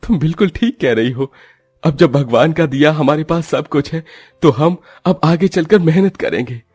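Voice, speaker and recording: A man's voice: on the high side, moderate pace, darkish tone, husky, soft background music, voice pushed up. A man voicing an agreeable, earnest young husband in a cartoon story. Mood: agreeable, resolved.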